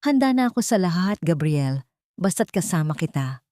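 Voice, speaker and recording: A woman voicing a wronged young heroine in an audio love drama, gentle, firm and composed. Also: fairly low pitch, big pitch swings, moderate pace, no echo, studio quality. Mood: tender. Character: wronged young woman, calm, resolute and tender.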